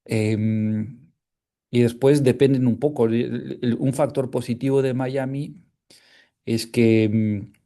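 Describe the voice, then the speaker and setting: A man's voice: mid-range voice, fairly even intonation, takes it slowly, dark tone. A man from Canada, a sports journalist speaking as a seminar guest, hesitant with frequent fillers, restarts and tag questions. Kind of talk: conversational seminar talk.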